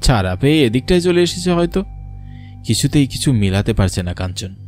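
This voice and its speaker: A man's voice: mid-range voice, sing-song, a little fast, a little mellow, soft background music. A man narrating a scripted horror cartoon story in a dramatic storytelling style.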